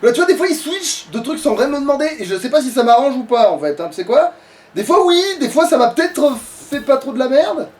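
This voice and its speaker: A woman's voice: sits high, sing-song, fast talker, a little mellow. A woman doing casual, rambling let's play commentary as the player, with informal swearing. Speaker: let's player playing the game. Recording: distant mic, background noise.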